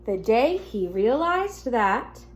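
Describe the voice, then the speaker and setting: Higher-voiced woman teacher, noisy home recording. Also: very animated, slow pace. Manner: gentle, simple explaining to young children. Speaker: teacher reading a picture book to pupils. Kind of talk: children's read-aloud with casual asides. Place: at home in an apartment. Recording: noticeable background noise, home recording.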